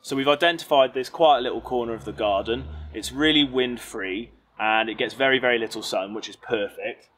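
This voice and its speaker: A man's voice: medium pitch, fairly quick, hardly any pauses, fairly bright tone, slight background noise. A young British man presenting an upbeat step-by-step tutorial outdoors in a garden. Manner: upbeat, clear instructional delivery.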